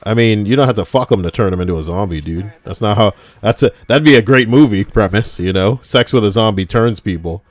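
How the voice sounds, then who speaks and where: Deep-voiced joking streamer man. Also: fairly quick, few pauses, dry recording. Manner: joking, crude, rambling. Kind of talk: casual gaming banter.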